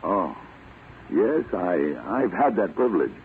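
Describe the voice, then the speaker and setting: An actor playing a suave, polite counterspy with a wry, courteous manner in a 1940s radio drama. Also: male voice, low-pitched, big pitch swings, slow, exaggerated voice. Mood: smooth, wry. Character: suave, polite male counterspy posing as titled gentleman. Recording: muffled, tinny, noisy old radio recording.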